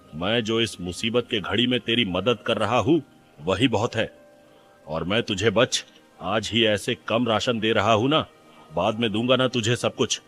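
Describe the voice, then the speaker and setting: A man's voice: fairly low pitch, fairly bright tone. A man playing a stingy, self-serving rich landowner in a cartoon moral story, dismissive and condescending.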